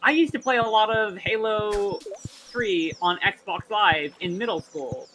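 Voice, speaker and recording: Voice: mid-range voice, measured pace, pushed and bright, slight background noise, rough recording. A co-streamer talking candidly during a casual gaming chat, hesitant and full of ums. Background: game music.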